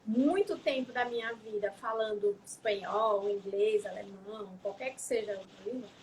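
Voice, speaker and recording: A woman's voice: mid-range voice, normal speed, slight background noise, rough recording. A young adult woman, a psychologist, talking in a casual interview, reflective and often adding tag questions. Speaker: psychologist guest.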